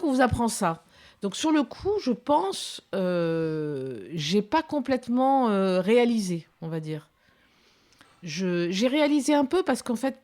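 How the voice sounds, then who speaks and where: A woman's voice: medium pitch, mellow. A woman in her fifties, a documentary filmmaker, giving reflective interview answers with many hesitations and restarts. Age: 50s.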